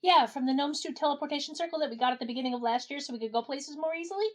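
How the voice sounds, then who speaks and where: A woman playing a brisk, wry gnome adventurer in a comedy audio drama. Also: high-pitched, natural voice. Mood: wry, curious.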